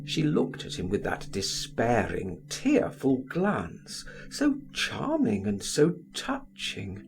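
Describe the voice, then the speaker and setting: A man reading a literary audiobook aloud in a theatrical, deliberate, expressive style. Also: medium pitch, creaky, music in the background, poor audio. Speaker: audiobook reader.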